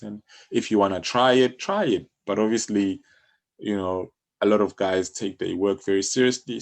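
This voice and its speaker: Male podcast guest, low gravelly register, conversational and encouraging, explains things with plenty of "you know". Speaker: music publisher, podcast guest. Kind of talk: casual interview talk.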